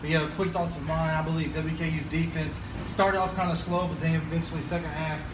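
A man's voice: on the high side, level tone. A young man hosting a casual postgame sports recap in a stadium hallway, talking loosely with lots of fillers, recorded by a camera mic a few feet away. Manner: fast, loose, filler-heavy talk. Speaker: sports show host. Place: concrete-block hallway at a stadium, indoors. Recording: echoey hallway, distant camera mic, background hum.

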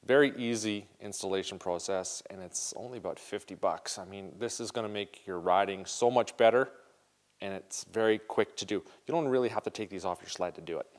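A man's voice: on the low side, a little fast. A man in his forties runs a casual how-to demo in an indoor garage workshop. He talks straight to the viewers in an upbeat, easygoing way, recorded on a lapel mic. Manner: upbeat, direct, talks to viewers as "you guys". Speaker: show host demonstrating snowmobile parts.

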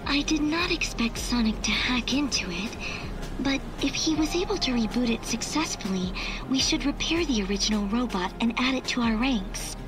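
A woman voicing a cool, analytical artificial intelligence girl in a video game, speaking in a measured, formal, detached way. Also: a little high, lively intonation, over music, close to an everyday voice, rough recording. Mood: cool, informative.

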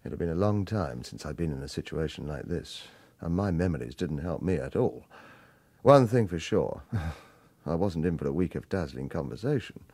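A man's voice: extremely low pitch, animated, moderate pace, dark tone, breathy edge, full character voice. An actor playing a sardonic, defiant retired hitman in a radio crime drama, evasive and wry. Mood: wry narration.

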